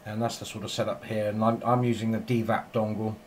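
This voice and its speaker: A man's voice: fairly low pitch, little pitch movement, fast, slight background noise. A man chatting casually and in a rambling way as a radio operator filming in his home shack. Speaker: amateur radio operator and video maker.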